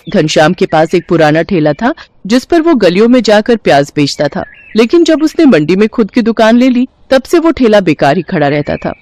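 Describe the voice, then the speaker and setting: A woman narrating a moral story in a calm, scripted storytelling manner. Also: fairly low pitch.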